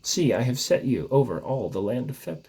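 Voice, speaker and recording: Man doing a pompous, husky emperor. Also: medium pitch, big pitch swings, moderate pace, warm tone, exaggerated voice. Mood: grandly decreeing. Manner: grandiose, theatrical proclaiming. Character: pompous male emperor issuing grand decrees. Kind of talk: comic storybook reading.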